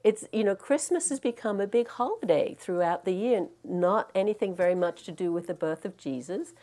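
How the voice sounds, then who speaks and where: A woman from Australia with a softened Australian accent, giving a gentle, reflective dharma talk as a meditation teacher, with frequent ums and restarts. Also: medium pitch, normal speed. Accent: Australian, mixed with American. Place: meditation hall in California.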